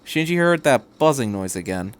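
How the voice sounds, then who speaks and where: A man's voice: mid-range voice, sing-song, normal speed, clear, resonant tone. A man reading a piece of fan fiction aloud as a scripted story narration.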